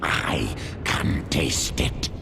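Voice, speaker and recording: An actor playing a hungry, savage primal alien beast in a video game, with drawn-out, menacing speech. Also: male voice, rumbling low, gravelly, noisy background, heavily acted.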